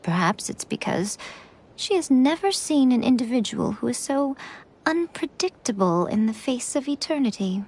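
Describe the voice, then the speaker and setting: An actress playing a confident, mysterious priestess mentor in a video game, speaking with composed, slightly playful assurance. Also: female voice, high-pitched, expressive, moderate pace, a little mellow, husky, heavily acted. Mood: composed, serious. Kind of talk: scripted video game voice acting.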